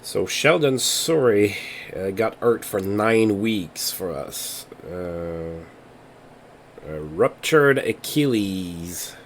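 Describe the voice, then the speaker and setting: A man with a somewhat low voice hosts a relaxed let's play, reading through results and weighing choices out loud. English is not his first language, and he talks in an easygoing, unhurried way. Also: a little hiss or hum. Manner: thinks aloud while reading game results. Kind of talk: casual gameplay commentary.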